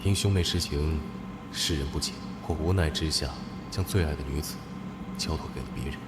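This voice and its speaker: An actor voicing a fierce, devoted young general in an animated drama, speaking in a commanding and possessive way. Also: male voice, a bass voice, brisk, loud music behind the voice. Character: fierce devoted general, young man, protective elder brother.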